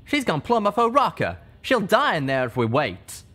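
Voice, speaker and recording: A man voicing a gruff, hot-tempered male pegasus pony with a drawl, loud and blustering. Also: sits high, huge pitch swings, unhurried, voice pushed up, full character voice. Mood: angry.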